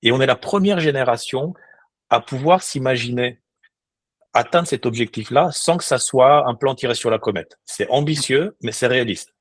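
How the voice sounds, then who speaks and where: Un homme d'une quarantaine d'années, invité d'un podcast, qui parle sérieusement avec beaucoup d'hésitations et de reprises. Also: male voice, medium pitch, moderate pace, no room sound. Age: 40s. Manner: earnest, many restarts and hesitations. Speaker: guest. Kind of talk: casual interview talk.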